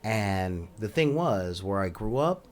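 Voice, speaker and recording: A soft-spoken man hosting a podcast, talking casually in a rambling monologue full of ums and asides. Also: expressive, slow. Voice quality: says he has a high voice. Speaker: podcast host.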